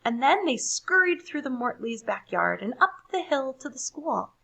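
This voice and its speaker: A woman reading a story aloud for children, expressive and deliberate, pausing to ask listeners questions. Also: sits high, gravelly, husky. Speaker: storybook reader.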